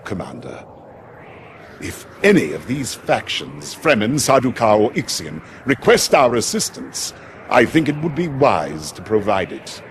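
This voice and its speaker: An actor playing a grave, loyal military advisor to a noble lord in a sci-fi game, speaking formally and with portentous weight. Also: male voice, mid-range voice, vocal fry, slight background noise, exaggerated voice. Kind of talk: dramatic sci-fi game cutscene acting.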